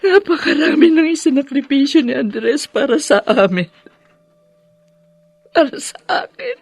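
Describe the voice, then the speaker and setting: A woman acting a tender, devoted widowed mother in a radio drama, with a halting, emotional delivery. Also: sits high, expressive, creaky, dry recording, heavily acted. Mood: tearful, regretful.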